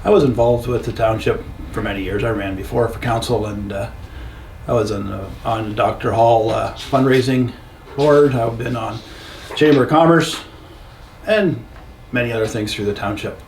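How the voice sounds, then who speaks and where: An older man from Canada with a Canadian accent, giving plainspoken, blunt and somewhat halting answers as a local council candidate. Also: mid-range voice, a little mellow. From Norwich, Ontario, Canada. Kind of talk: informal interview answers. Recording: distant, echoey, noisy room sound.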